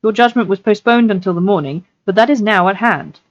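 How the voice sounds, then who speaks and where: A woman voicing a loyal, earnest male traveller in a storybook reading, courteous and reassuring, gentle but firm. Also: medium pitch, brisk, no room sound, lo-fi sound, pitched above the performer's own voice, close to an everyday voice. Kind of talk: audiobook-style character reading.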